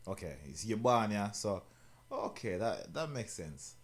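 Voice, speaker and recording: A man's voice: lowish voice, expressive, measured pace. A man hosting an interview, asking easygoing questions with patois mixed in. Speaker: host.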